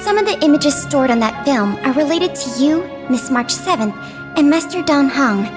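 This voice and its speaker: Polite young museum guide girl from a game, really high pitched, careful and bookish, music under it. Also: lively intonation, normal speed. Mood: earnest, concerned. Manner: courteous, bookish, careful explaining. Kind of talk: video game voice acting. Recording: music behind the voice.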